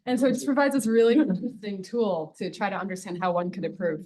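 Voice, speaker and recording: A woman engineering professor speaks on a discussion panel. Her voice sits at a middle pitch, and she explains things in a measured, step-by-step way. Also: steady tone, darkish tone.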